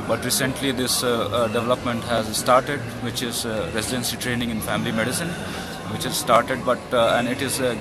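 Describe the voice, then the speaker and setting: Indian man, earnest and hesitant. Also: medium pitch. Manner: earnest, hesitant with many fillers. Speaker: family physician. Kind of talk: conference interview.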